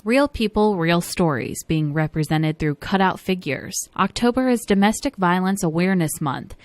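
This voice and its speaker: A woman news reporter reading a scripted story in a measured delivery. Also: on the low side, lively intonation.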